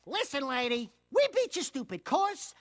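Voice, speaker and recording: A man's voice: very high voice, very animated, normal speed, a bit bright, exaggerated voice. A man voicing a cocky, boastful, wisecracking little furry sidekick in a cartoon-like video game, with brash theatrical delivery.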